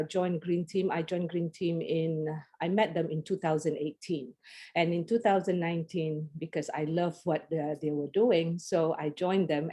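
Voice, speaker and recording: A middle-aged Malaysian woman speaks in a fairly low voice over a video call. She presents in a friendly, casual way, pausing often on fillers and restarting her sentences. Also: warm tone. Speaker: guest presenter.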